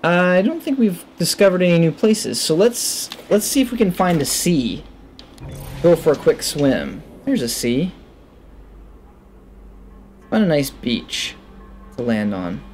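A man's voice: fairly high pitch, sing-song, measured pace, pauses often, darkish tone, soft background music. A man in his thirties giving casual gaming commentary into a headset, musing to himself as he plays. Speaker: gaming video host. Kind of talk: casual let's play commentary, reading game text aloud.

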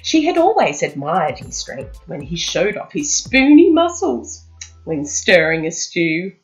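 A woman reading a children's storybook aloud with expressive, dramatic emphasis, in a slightly muffled recording. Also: fairly low pitch, a little slow, soft background music.

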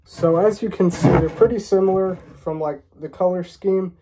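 A man's voice: high voice, normal speed, noisy background. A young American man with a stuffy, congested voice from a cold, chatting casually to camera as a reviewer in a small room. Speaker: vlogger reviewing drinks.

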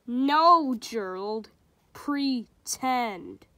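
A woman voicing a patient, cheerful female pig from a picture book who plays at being a frog, explaining in a calm, matter-of-fact way. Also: on the high side, drawn-out words, exaggerated voice.

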